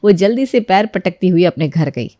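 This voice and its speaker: A woman's voice: lowish voice, lively intonation, normal speed, dark tone, dry recording, studio quality. A woman giving scripted narration as the storyteller of a domestic tale.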